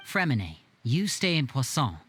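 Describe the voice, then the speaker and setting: An actor playing a clever, protective young magician in a video game, composed and earnest with a theatrical touch. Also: male voice, medium pitch, sing-song, unhurried, a bit bright, slightly rough, heavily acted. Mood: decisive. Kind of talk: game voice acting.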